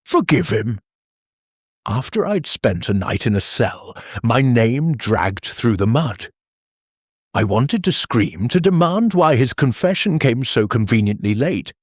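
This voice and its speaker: A man's voice: mid-range voice, sing-song, unhurried, husky, dry recording, studio quality. A man voicing a humiliated, scornful young actress who tells of her ordeal with wounded drama in an audiobook. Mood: scornful, indignant. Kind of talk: audiobook character dialogue.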